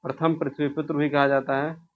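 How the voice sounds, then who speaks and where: A man's voice: fairly high pitch, little pitch movement, brisk, phone-quality sound. A man from India teaches an informal exam revision lesson, posing questions, answering them himself and repeating key answers.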